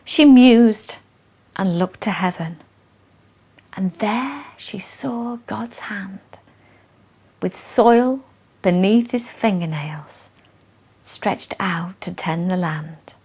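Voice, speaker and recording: A woman reciting verse expressively, with measured, dramatic phrasing and hushed line endings. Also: mid-range voice. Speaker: poet and presenter reading verse.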